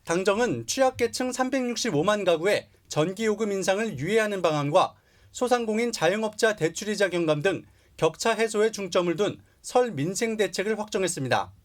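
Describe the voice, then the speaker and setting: A male TV reporter reading a scripted news report in a crisp, even way. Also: high voice, a little fast. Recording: clear background noise.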